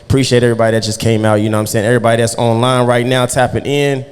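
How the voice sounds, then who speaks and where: A man's voice: medium pitch, little pitch movement, racing, clean tone, big-room sound. A man hosting a casual podcast interview, laid-back and slangy, often asking "you know what I'm saying.". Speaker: podcast host.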